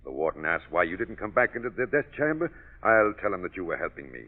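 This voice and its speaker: An actor in a 1940s radio drama playing an offhand, matter-of-fact prison executioner, on a lo-fi old broadcast. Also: male voice, low voice, very animated, fast talker. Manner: casual, offhand. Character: matter-of-fact male prison executioner, weary family man.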